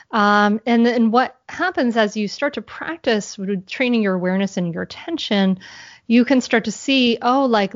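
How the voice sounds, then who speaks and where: Halting mom on a podcast. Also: female voice, mid-range voice, no echo. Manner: halting, many restarts and fillers. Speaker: guest. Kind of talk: podcast interview, conversational. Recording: dull, dry sound.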